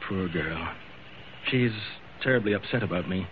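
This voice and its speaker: A man's voice: low-pitched, moderate pace, natural voice. An actor playing a proud ship owner who is losing his sight and hides it, hesitant and stammering, in old-time radio drama style. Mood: bitter, subdued. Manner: hesitant, stammering, self-deprecating. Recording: tinny, noisy old radio broadcast.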